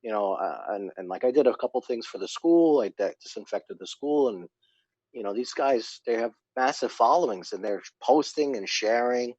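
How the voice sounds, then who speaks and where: A man guest on a casual podcast who tells long, animated stories and often jumps into quoting other people. His voice sits at a middle pitch. The sound is dry and a little muffled. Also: moderate pace, slightly rough, no echo. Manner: animated storyteller, acts out quotes. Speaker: guest, company founder.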